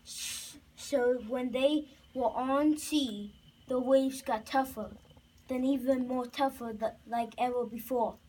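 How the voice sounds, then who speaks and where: A child narrating a homemade puppet show haltingly, with restarts. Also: high-pitched. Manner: halting, repeats and restarts phrases. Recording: distant, faintly noisy home recording.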